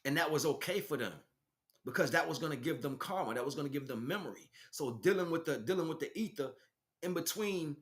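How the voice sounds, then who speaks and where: A man's voice: on the high side, expressive, a little fast. A man giving an impromptu spiritual talk as a teacher, speaking emphatically in short, repetitive bursts. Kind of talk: impromptu spiritual monologue, preaching.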